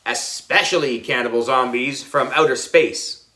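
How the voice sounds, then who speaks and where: A man voicing a cheeky, excitable schoolboy in a children's storybook, exclamatory and dramatic. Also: medium pitch, a little slow. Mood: teasing. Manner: exclamatory, teasing.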